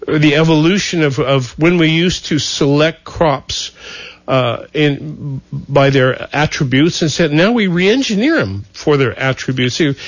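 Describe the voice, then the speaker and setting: A man hosting a radio talk show, with a slightly high voice heard in a dry, close studio sound. He spins a playful, wry story and sometimes restarts his sentences. The broadcast sounds dull and lo-fi. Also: no room sound.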